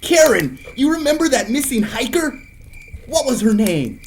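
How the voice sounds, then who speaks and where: A man's voice: very high-pitched, sing-song, normal speed, a little hiss or hum, heavily acted. An actor playing a cocky young man, a theatrical, easily exasperated camp counselor telling campfire stories in an audio drama. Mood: teasing.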